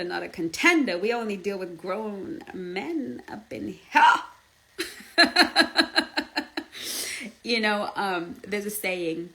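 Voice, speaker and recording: Emphatic Zimbabwean woman coaching. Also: medium pitch. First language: Shona. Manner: emphatic, lively, laughs often, repeats "okay?" and "do you understand?". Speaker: relationship coach. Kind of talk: casual advice talk.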